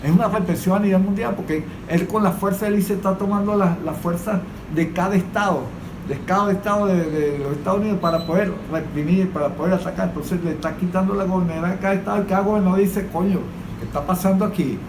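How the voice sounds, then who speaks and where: Higher-voiced Venezuelan man, halting, echoey noisy room. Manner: halting, repetitive phrasing. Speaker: panelist on a political podcast. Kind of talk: informal political discussion.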